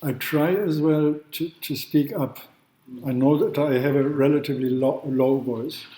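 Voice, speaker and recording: A man from Germany, a law professor giving a university lecture in a halting way, with restarts and throat clearing. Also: a little high, steady tone, dark tone. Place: large classroom. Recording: echoey room.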